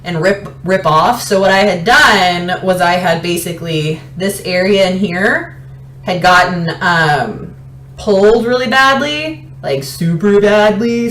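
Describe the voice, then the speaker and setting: Casting: woman, late twenties, casual fitness vlogger, animated and rambling storyteller, mid-range voice, echoey room sound. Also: medium pitch, measured pace. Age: late 20s. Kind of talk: casual chatty personal storytelling. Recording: roomy echo, light background noise.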